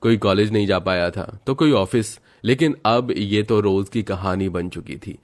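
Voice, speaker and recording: A man's voice: lowish voice, normal speed. A man reading scripted narration for a family story.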